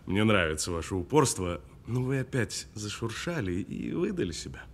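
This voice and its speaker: An actor playing a cool, sardonic detainee who needles a policeman with deliberate, mocking delivery in an audio drama. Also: fairly low pitch, big pitch swings, slight background noise. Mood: calm, sardonic. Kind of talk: naturalistic audio film drama acting.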